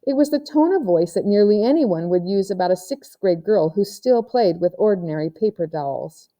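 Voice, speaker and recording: A woman reads a children's story aloud as narrator. Her voice is very mellow and dark-toned, sitting at an ordinary pitch for a woman. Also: animated, normal speed. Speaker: audiobook reader.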